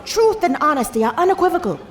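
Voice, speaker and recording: A woman's voice: very high-pitched, sing-song, fast, some chatter behind. An actress playing a principled, defiant American congresswoman in an audio drama, speaking with emphatic, precise diction and an American accent.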